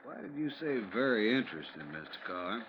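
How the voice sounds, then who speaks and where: A man playing a brisk, skeptical police lieutenant, official yet folksy, in a 1950s radio drama. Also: mid-range voice, big pitch swings, background noise, close to an everyday voice. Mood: businesslike. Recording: muffled vintage radio recording.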